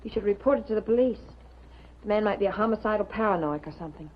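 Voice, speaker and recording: An actress playing a sharp-tongued, worried newspaper colleague, prim and insistent, in a 1950s television drama. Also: female voice, medium pitch, fast talker, close to an everyday voice. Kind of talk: 1950s American TV drama acting. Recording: muffled, noisy 1950s television soundtrack.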